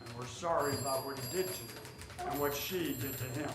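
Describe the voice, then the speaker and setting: An actor playing a polite, guarded department store owner in a 1940s radio drama, formal and a little halting. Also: male voice, medium pitch, natural voice. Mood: courteous. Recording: echoey theater with clear background noise.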